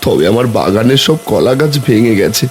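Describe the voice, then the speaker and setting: A poor village farmer and father, played by a man, anxious and earnest. His lamenting cartoon voice sits slightly low, with faint noise behind. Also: sing-song, normal speed. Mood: pleading. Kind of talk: cartoon fairy tale acting.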